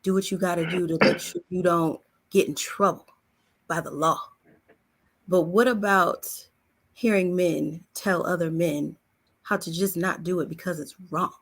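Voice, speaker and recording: A woman's voice: on the low side, steady tone, a little slow, slightly rough. A woman on a podcast panel, asking her question in a direct, emphatic, conversational way. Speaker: panel guest. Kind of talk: casual panel discussion.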